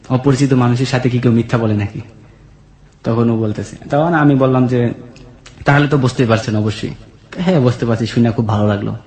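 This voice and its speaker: A man's voice: medium pitch, a little mellow. A young man with a colloquial Bangladeshi accent telling a personal story conversationally, retelling dialogue turn by turn. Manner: retells dialogue in quoted turns. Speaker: guest telling his life story. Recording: echoey, reverberant voice.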